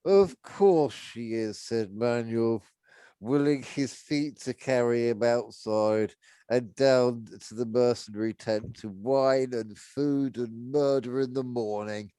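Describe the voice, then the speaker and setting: A man's voice: medium pitch, fairly even intonation, slow, mellow, smooth, dry recording. A man reading a story aloud unrehearsed, narrating and now and then stumbling over words and rereading. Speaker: reader of a book aloud.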